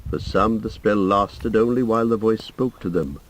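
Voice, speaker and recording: A man reading a literary audiobook in a measured storytelling style. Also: on the low side. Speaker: audiobook narrator. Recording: noisy audiobook playback.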